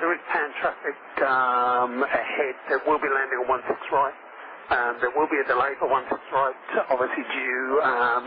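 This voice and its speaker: A man working as an air traffic controller, giving procedural, explanatory instructions over aviation radio. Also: mid-range voice. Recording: tinny band-limited radio, clear static noise.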